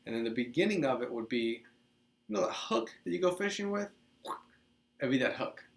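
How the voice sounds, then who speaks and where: A man in his thirties, from the Dominican Republic, hosting a casual teaching video, talking with lively hand gestures in a small office. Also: medium pitch, brisk, vocal fry. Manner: animated, gestures a lot, chatty with restarts. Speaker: host. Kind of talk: casual teaching monologue to camera. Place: small office with couch, indoors.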